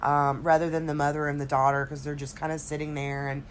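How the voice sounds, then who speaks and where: A woman podcast co-host with a throaty voice from a cold, chatting casually and haltingly through a TV recap. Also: sits low, level tone, a little hiss or hum. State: recovering from a cold, slightly congested.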